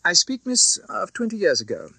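A man's voice: sits high, wildly swooping pitch, normal speed, sharp tone, no echo, voice pushed up, exaggerated voice. A man voicing a fussy, flustered, kindly old English banker in an audiobook, formal and businesslike, with a hesitant stammer. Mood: earnest, agitated. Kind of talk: dramatised audiobook reading.

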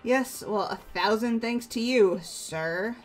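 A woman's voice: on the high side, slow. A woman playing an earnest, emotional, sporty teenage girl in a video game, sincere and a little hesitant. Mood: subdued. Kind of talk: video game character voice-over. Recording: music bed behind voice.

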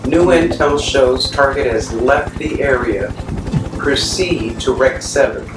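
A man's voice: mid-range voice, slow pace, close to an everyday voice. A man playing a curt command dispatcher. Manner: curt radio orders. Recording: echoey, distant, noisy.

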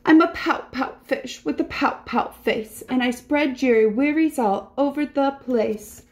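A woman's voice: high voice, a little slow, darkish tone, voice pushed up. A woman voicing a glum, gloomy male fish from a children's storybook, sulky and resigned, in a mopey sing-song. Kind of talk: children's picture-book character voice.

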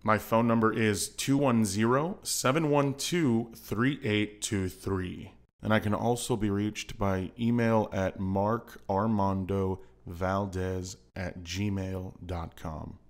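Low-voiced man reading scripted narration. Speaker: voice actor. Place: home recording setup.

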